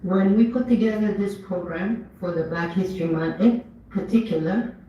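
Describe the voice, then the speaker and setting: A speaker from Ethiopia giving an earnest, measured commemorative speech at an event, lifting their voice on key words. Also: medium pitch. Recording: dull, echoey hall, mic far off.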